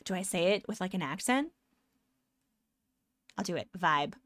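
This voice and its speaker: A woman's voice: lowish voice, expressive, normal speed, a bit bright, no echo, clean studio sound. A young woman with a Canadian accent, chatting casually with her stream viewers, rambling and upbeat.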